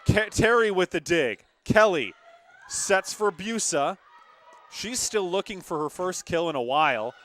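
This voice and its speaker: Young guy doing volleyball play by play, voice a bit high, emphatic and chatty with his partner. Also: animated, a little slow, fairly bright tone. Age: young adult. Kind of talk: live sports commentary.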